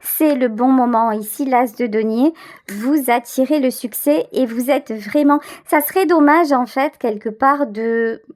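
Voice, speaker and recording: A woman's voice: high voice, unhurried, a little mellow. A woman giving a tarot reading straight to the listener, conversational and emphatic, repeating words for stress. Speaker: tarot reader, channel host.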